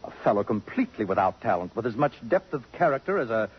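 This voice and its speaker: An actor playing a vain, acid-tongued middle-aged columnist with pompous, precise diction in a 1950s radio drama. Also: male voice, lowish voice, very animated, quick pace, breathy edge, exaggerated voice. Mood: wistful, self-important. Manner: pompous, precise, sardonic, elaborate phrasing. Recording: muffled, noisy 1950s radio broadcast.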